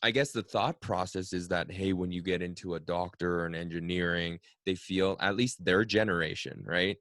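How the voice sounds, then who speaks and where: A man's voice: sits low, fairly even intonation, moderate pace, fairly bright tone, dry recording, studio quality. A man in his thirties, a native Cantonese speaker, hosting a casual interview podcast and talking reflectively with frequent tag questions. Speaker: podcast host.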